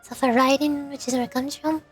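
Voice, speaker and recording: High-pitched woman streamer chatting over music. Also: steady tone, a little fast. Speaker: livestream host, VTuber. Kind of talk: casual chat to stream viewers while gaming. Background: background music.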